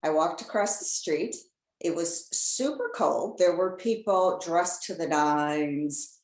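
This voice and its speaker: A woman hosting a podcast interview, chatting casually and telling lively stories with frequent laughter. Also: on the low side, slow. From Chicago area. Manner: lively storyteller, laughs often. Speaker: podcast host. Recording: echoey room.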